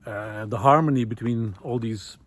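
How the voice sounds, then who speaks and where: A man of ordinary middle pitch speaks while walking a forest path outdoors, recorded on a portable mic. He thinks aloud with frequent pauses and fillers, then pushes his voice up and louder when he reaches a point he cares about. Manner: thoughtful, many pauses and fillers, rising emphasis on key points. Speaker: nature video essayist. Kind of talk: reflective walking monologue.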